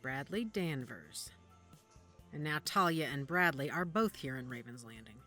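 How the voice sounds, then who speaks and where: A woman acting in an audio drama as a guarded, worried werewolf investigator who speaks gravely and deliberately. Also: a bass voice, expressive, pushed and bright, exaggerated voice. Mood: intent, curious. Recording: music under the dialogue.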